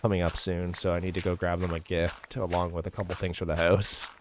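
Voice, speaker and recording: A young man streaming a game, his voice a little raspy today, rambling casually with lots of filler words. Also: deep voice, level tone, a little fast, slight background noise. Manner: rambling, heavy use of "like" fillers. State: slightly raspy voice. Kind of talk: casual chat over gameplay.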